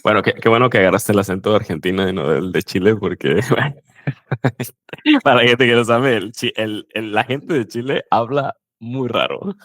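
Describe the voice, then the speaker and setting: A man who hosts a casual interview, relaxed and full of fillers and short replies. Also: fairly low pitch, moderate pace, no echo, studio quality. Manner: warm backchannels, relaxed filler-heavy talk. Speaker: host, language coach.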